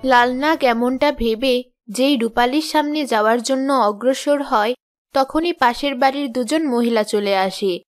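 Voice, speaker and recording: A woman's voice: high voice, a little slow. A woman narrating a children's story in a scripted storytelling style. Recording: music behind the voice.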